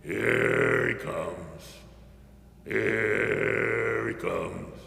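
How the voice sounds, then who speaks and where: A man voicing a bullfrog lookout from a folktale, calling out a warning in a slow, drawn-out singsong. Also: on the low side, gravelly, sharp tone, voice pushed down, heavily acted. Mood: loud warning. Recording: echoey hall with long reverb.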